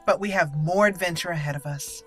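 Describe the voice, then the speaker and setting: A woman's voice: lowish voice, fairly quick, faint music behind. A woman hosting a roleplay let's play, chatty and playful, commenting and reading dialogue aloud. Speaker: gaming channel host.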